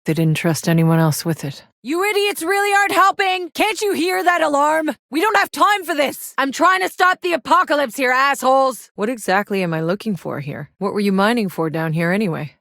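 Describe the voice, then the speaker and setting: A woman's voice: a little high, lively intonation, moderate pace, few pauses, a bit bright, dry recording. An actress playing a tough, sardonic, weary but determined woman soldier in a video game, with a terse, dry, hard-edged delivery. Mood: angry, shouting, then businesslike. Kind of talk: acted video game voice lines.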